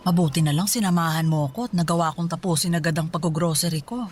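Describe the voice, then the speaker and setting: A woman playing a gentle, teasing middle-aged mother in a naturalistic radio drama. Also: on the low side, quick pace, soft background music, natural voice. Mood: easygoing.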